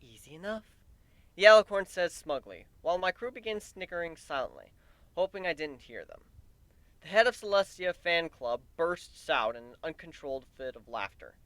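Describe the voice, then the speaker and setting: Male amateur storyteller, expressive and lively, bright tone, dry sound. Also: fairly high pitch, normal speed, no room sound. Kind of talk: dramatic reading of fan fiction.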